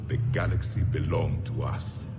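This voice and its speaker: An actor playing a proud, somber ancient alien warrior in a video game, with a solemn, imperious manner. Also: male voice, sits low, little pitch movement, moderate pace, over music, lightly acted. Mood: somber wonder.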